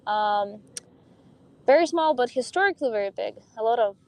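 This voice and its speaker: A young woman speaks openly in a podcast interview, her colouring her English. Her voice sits on the higher side. She talks in a loose, chatty way, with soft laughs and ums between her thoughts. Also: animated, normal speed. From Russia. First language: Russian. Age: young adult. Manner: open and chatty, with light laughs and filler ums. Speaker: guest, professional pickleball player.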